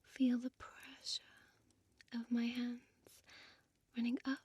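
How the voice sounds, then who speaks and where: A woman playing a seductive, possessive vampire innkeeper in an ASMR audio roleplay, whispering softly with hypnotic, commanding slowness. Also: on the high side, completely flat delivery, dry recording, full character voice. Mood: soothing, hypnotic. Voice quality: breathy, soft whisper. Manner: slow, hypnotic, coaxing, deliberate pauses.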